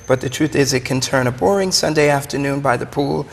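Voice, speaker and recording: A man's voice: mid-range voice, fairly quick, creaky. Brooklyn guy, chatty and keen, explaining stuff in an interview, big echoey reverb. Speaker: wine shop owner, interview guest. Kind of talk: conversational, explanatory interview talk. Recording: long hollow room reverb.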